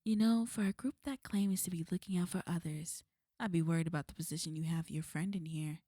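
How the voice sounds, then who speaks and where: A woman playing a smug, menacing villain in an ASMR roleplay, taunting and threatening in a cool, unhurried way. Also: on the low side, steady tone, mellow, dry recording, clean studio sound, close to an everyday voice. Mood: cold, taunting. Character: smug, menacing female villain, fond of an enemy.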